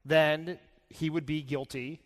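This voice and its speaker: A man giving casual political commentary with emphatic, halting phrasing. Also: on the high side, steady tone. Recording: echoey live hall.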